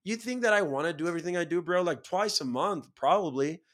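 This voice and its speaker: A man's voice: sits high, lively intonation, quick pace, fairly bright tone. A man from Chile hosting a podcast, talking casually and motivationally in an earnest, emphatic, slangy way full of "bro" and "dude". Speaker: podcast host.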